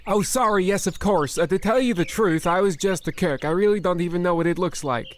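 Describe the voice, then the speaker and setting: A man's voice: high-pitched, fairly quick, natural voice. A man voicing a nervous, rambling male expedition cook who insists on repeating his warnings in a comedic radio play. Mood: apologetic.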